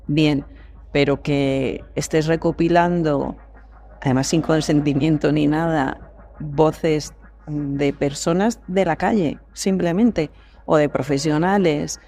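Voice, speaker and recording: Woman, creaky voice, measured and reflective conversational delivery for a panel chat. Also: low-pitched, dark tone. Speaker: voice actor, podcast guest. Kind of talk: casual panel discussion.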